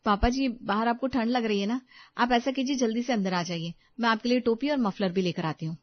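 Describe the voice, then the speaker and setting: A woman voicing an anxious, fussing, caring young daughter-in-law in an animated family story, fretful and insistent. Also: on the high side, brisk, dull audio, lightly acted.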